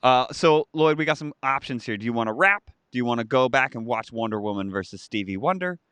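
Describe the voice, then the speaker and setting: Lively chatty man, dry close sound. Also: medium pitch, fairly quick, clear, resonant tone, no room sound. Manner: animated, chatty, rambling with restarts. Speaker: co-host of a live stream. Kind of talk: casual chat reading viewer comments.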